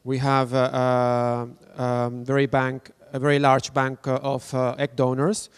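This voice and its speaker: A man's voice: medium pitch, completely flat delivery, unhurried, clear, resonant tone. A man, a clinic's international coordinator, speaking hesitantly at a conference with many fillers. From Spain. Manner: hesitant, many uh fillers, non-native phrasing. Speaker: international team member. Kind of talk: conference presentation. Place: conference room.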